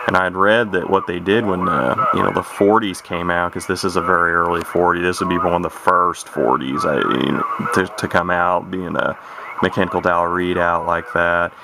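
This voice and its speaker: A man's voice: low voice, steady tone, moderate pace, creaky. A man giving a casual, unscripted demonstration as a radio hobbyist, rambling and hesitant in a folksy way. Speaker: vintage radio hobbyist presenting a video. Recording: noisy home video, radio static. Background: noise and CB radio chatter.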